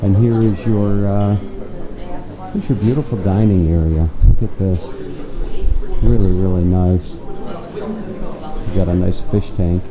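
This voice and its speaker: A man giving an upbeat, chatty walking tour of a hotel, often pausing with "uh" and repeating himself. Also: low-pitched, crowd noise, poor audio. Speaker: travel vlogger touring a hotel. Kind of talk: casual walking tour narration. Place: hotel breakfast hall and lobby, indoors.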